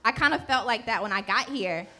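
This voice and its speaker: A woman's voice: a little high, lively intonation, brisk, pushed and bright. Chatty woman from North Carolina. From Charlotte, North Carolina. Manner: casual, chatty, many fillers. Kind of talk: panel discussion.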